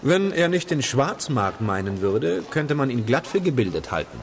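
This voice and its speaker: An actor playing a hard-up, roguish film actor in a radio play, garrulous and sardonic, with a theatrical, jesting manner. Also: male voice, medium pitch, very animated, moderate pace, a little hiss or hum. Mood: wheedling, mocking. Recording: crowd and outdoor street sounds behind.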